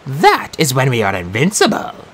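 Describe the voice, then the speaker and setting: A man voicing a trio of cold, ancient Valkyrie warrior women in an audiobook, slow and threatening. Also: medium pitch, wildly swooping pitch, a bit bright, slight background noise. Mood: menacing. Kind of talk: audiobook character voice.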